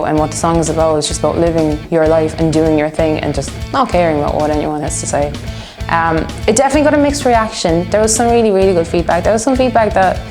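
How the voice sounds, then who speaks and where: A woman of twenty-one, talking casually and earnestly in an interview, giving her opinions. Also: deep voice. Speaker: music student and band musician being interviewed.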